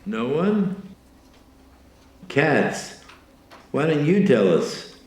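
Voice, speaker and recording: Strict lecturer, deliberate emphasis, roomy echo, audio drama. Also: male voice, a little high, sing-song, heavily acted. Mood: didactic. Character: brisk, exacting male college lecturer.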